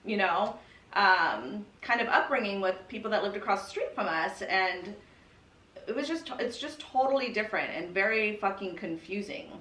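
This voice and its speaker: Bright-voiced American woman, sweary and casual. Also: medium pitch, expressive, normal speed. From California, United States. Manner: informal, sweary, frequent "like" and "you know". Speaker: podcast guest sharing her personal story. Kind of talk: candid casual conversation. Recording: roomy echo, distant from the mic.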